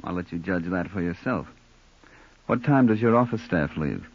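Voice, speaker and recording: A man's voice: deep voice, expressive, moderate pace, breathy edge, no echo, exaggerated voice. An actor playing a cool, menacing hired gunman in 1940s radio style, smug, sly and mockingly polite. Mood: sly, coaxing. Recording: dull lo-fi old radio recording.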